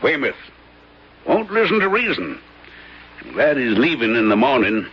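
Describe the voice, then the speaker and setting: An actor playing a gruff, superstitious innkeeper, terse and grumbling, in a radio drama. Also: male voice, medium pitch, very animated, normal speed. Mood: sour, brooding. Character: gruff, sour, superstitious male innkeeper in rural Maine. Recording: dull lo-fi radio recording.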